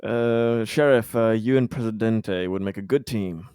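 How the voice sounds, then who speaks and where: A man with a fairly low voice banters as a player in a tabletop game, close and dry. He is joking and mock-polite, restarting his phrases as he talks. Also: unhurried, a little mellow, smooth, no echo.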